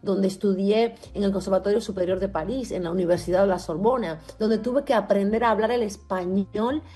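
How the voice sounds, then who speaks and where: A woman musician who is a native French speaker and comes from Cuba, giving reflective interview answers in an earnest, deliberate and carefully articulated way. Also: medium pitch, warm tone, breathy edge, faint music behind.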